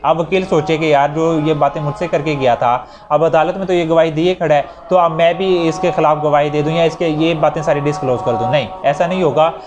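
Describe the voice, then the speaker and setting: A man's voice: on the high side, steady tone, a little fast, hardly any pauses, dull audio. A man with a Pakistani accent gives an explanatory law lecture, reading out clauses and then repeating and rephrasing them in a didactic way. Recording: clearly noisy recording with ambient sound.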